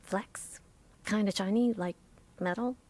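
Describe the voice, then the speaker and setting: A woman's voice: medium pitch, takes it slowly, darkish tone. A woman playing a doctor's skittish, sardonic helper in an audio drama, plain-spoken and sarcastic. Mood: uneasy. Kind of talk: naturalistic audio drama acting.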